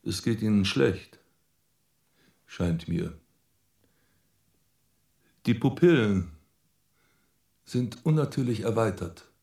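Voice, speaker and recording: An actor playing a menacing, domineering hypnotist doctor in a radio drama, giving clipped commands with dramatic pauses. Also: male voice, fairly low pitch, animated, vocal fry, dark tone, husky, heavily acted. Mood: silky menace. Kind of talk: German radio drama acting.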